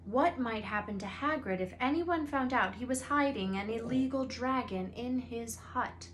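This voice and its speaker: A woman reading a storybook aloud as a teacher, in an expressive narrating manner. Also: fairly high pitch, normal speed, a little hiss or hum.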